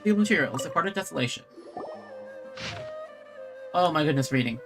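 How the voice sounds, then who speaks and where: A woman's voice: low-pitched, animated, brisk. A woman streaming a video game, giving casual commentary and reading in-game text aloud. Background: game music.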